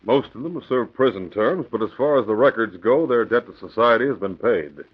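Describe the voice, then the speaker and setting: A man acting a gruff, frustrated middle-aged police commissioner in a 1940s radio drama. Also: mid-range voice, expressive, a little fast. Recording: muffled old 1940s radio recording.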